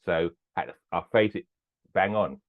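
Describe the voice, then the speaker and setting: An older man speaks earnestly as an interview guest, circling back over his own phrases as he goes. His voice sits very low. It comes through a thin, phone-like line. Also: a little slow. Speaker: podcast guest, business owner. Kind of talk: casual interview chat.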